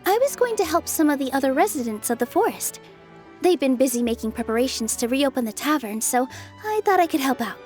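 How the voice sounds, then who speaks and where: An actress playing a gentle, encouraging young woman who is a dancer. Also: high voice, expressive, brisk, soft background music.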